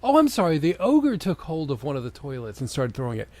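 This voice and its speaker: A man's voice: on the high side, wildly swooping pitch, quick pace, darkish tone, no room sound. A man doing a dramatic comedic reading of fan fiction, breaking into exasperated, mocking asides. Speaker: co-host of a comedy reading show.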